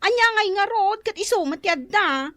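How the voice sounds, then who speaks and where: A woman's voice: very high-pitched, lively intonation, moderate pace, sharp tone, no echo, heavily acted. A woman playing a nagging, complaining youngster of a poor household in an Ilocano radio drama, whiny and with an Ilocano accent.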